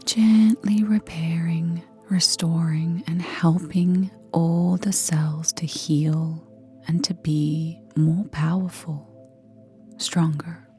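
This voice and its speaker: A woman leading a guided meditation in a soft, breathy near-whisper, gentle and soothing, with unhurried pauses. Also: low-pitched, fairly even intonation. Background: soft background music.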